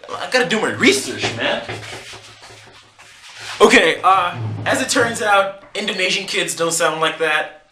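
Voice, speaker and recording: A man vlogger telling a comic story to camera in an animated, joking way, laughing between lines. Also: high voice, unhurried, long pauses, echoey room, slight background noise.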